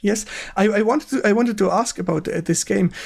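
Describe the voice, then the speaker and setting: A man with a German accent hosting a casual gaming stream interview in English, speaking haltingly and repeating words with effusive enthusiasm. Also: high voice, vocal fry, darkish tone, breathy edge, soft background music. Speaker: host of a retro gaming stream.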